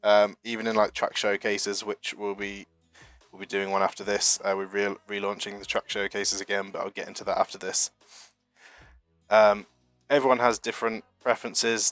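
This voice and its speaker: Man, young, from the UK, just over a flu, rambling self-deprecating stream chat, low flat voice. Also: no room sound. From United Kingdom. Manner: chatty and rambling, self-deprecating, with frequent fillers. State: getting over a flu, a bit sniffly. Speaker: streamer hosting a marble racing channel. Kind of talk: casual live-stream chat reading chat messages.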